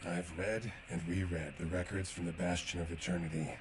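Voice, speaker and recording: An actor playing a grave, devoted warrior in a sci-fi video game, speaking in an earnest, measured way. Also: male voice, deep voice, level tone, background noise, close to an everyday voice. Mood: troubled, solemn. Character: grave, devoted male sci-fi warrior grieving lost comrade. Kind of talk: video game quest dialogue.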